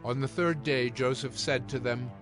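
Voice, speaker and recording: Solemn male narrator over clear music. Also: medium pitch, fairly even intonation, normal speed. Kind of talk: scripted scripture narration. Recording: music behind the voice.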